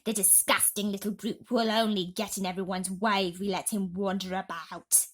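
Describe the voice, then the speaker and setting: A woman reads a bedtime story in an expressive, dramatic manner. Her voice sits at a medium pitch and often sinks into a breathy hush. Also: moderate pace. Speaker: storybook reader.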